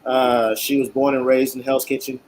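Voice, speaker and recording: A man's voice: medium pitch, little pitch movement, moderate pace, a little mellow, slight background noise, rough recording. A man from Queens, a guest talking in an earnest, plain-spoken way with many fillers. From Queens, New York. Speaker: guest, cigar maker. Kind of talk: interview chat. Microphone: video call.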